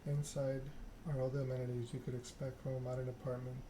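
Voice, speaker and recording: A man softly narrating a story game in ASMR style, reading in a measured, deliberate way. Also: medium pitch, little pitch movement. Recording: clearly noisy background ambience.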